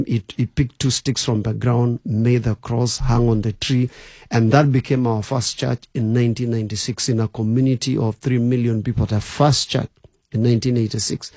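A man's voice: mid-range voice, warm tone, slightly rough, dry recording, clean studio sound. A man from Kenya, a bishop guest on a radio show, telling his life story with many fillers and restarts.

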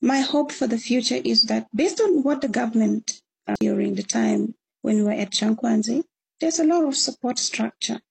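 A woman's voice: on the high side, moderate pace, creaky, slightly rough, poor audio. A woman from northern Uganda with a Ugandan accent, answering interview questions in an earnest, encouraging way. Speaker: returnee guest.

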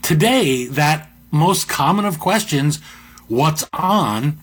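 A middle-aged man with a deep voice, hosting a podcast and musing in a conversational monologue with asides and restarts. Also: lively intonation, slow pace, slightly rough. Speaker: podcast host. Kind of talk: reflective monologue.

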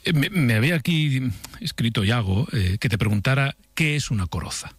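A man hosting a radio interview, asking questions briskly and filling in with short replies. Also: mid-range voice, animated, slightly rough, no echo. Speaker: radio host.

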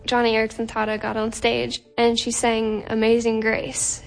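A woman's voice: fairly high pitch, completely flat delivery, normal speed, vocal fry, soft background music, poor audio. A woman candidly telling her personal testimony of a conference she attended.

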